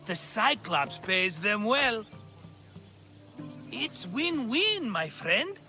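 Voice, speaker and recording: An actor playing a cheerful, scheming merchant in a video game, cajoling theatrically. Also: male voice, high-pitched, big pitch swings, extremely slow, sharp tone, faint music behind, exaggerated voice. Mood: excited scheming. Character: scheming, cheerful, fast-talking male merchant friend in ancient Greece. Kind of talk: video game cutscene acting.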